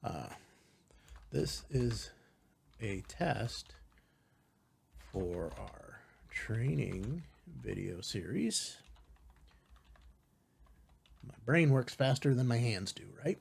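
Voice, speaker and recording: Casual male tutorial host, slightly husky. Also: mid-range voice, lively intonation, very slow, long pauses. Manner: informal, reassuring asides with rhetorical check-ins. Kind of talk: casual step-by-step software tutorial.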